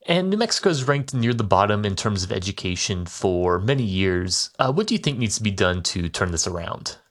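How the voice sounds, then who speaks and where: A man's voice: fairly low pitch, very animated, fairly quick. A man working as a news interviewer, putting questions to a guest.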